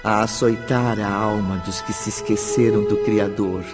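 A man's voice: fairly low pitch, fairly even intonation, unhurried, close to an everyday voice. A man as a solemn storyteller, narrating a radio drama in a grave, dramatic, literary way. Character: solemn third-person storyteller. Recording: background music under narration.

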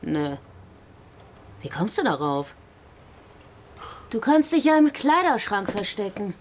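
A woman's voice: medium pitch, very animated, slow pace, slight background noise. An actress playing a cool, self-assured, flirtatious woman with a mocking manner in a German radio drama. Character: cool, teasing seductive woman under police suspicion.

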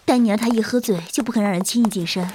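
A woman's voice: mid-range voice, racing, mellow, slight background noise, close to an everyday voice. A woman acting an eager, courteous, easily flustered celebrity assistant in an audio drama.

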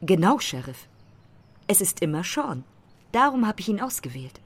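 A woman playing a self-assured, provocative photographer in a German radio drama, with a husky voice and pointed, theatrical phrasing. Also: mid-range voice, very animated, normal speed. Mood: teasing, admiring.

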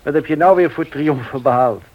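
A man's voice: a little high, a little fast, muffled recording, close to an everyday voice. A man playing a hesitant, earnest family friend in a radio play. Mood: curious, concerned.

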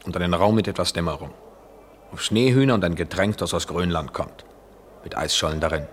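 Radio drama part: actor as restless European man, low voice, poetic and wry, slightly noisy vintage recording. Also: fairly quick, lightly acted. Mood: musing. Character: restless, wry European traveller, aloof yet attracted man. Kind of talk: 1970s German radio play acting.

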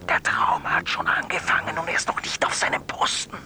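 A man's voice: deep voice, sing-song, brisk, in a whisper. A man with an Austrian accent playing an eccentric phone-in caller in a radio drama, earnestly telling an absurd tale. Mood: dramatic, hushed.

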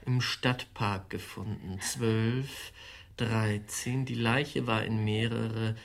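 An actor playing a scheming young nephew in a German radio crime comedy, polite, soothing and falsely solicitous. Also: male voice, fairly low pitch, steady tone, takes it slowly. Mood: feigned innocence. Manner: polite, soothing, smooth-tongued.

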